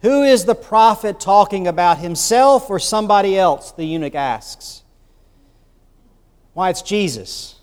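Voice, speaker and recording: A man's voice: high voice, expressive, measured pace, smooth. A man preaching a sermon as bishop, telling the story in a conversational way with rhetorical asides. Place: church sanctuary. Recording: long church reverb.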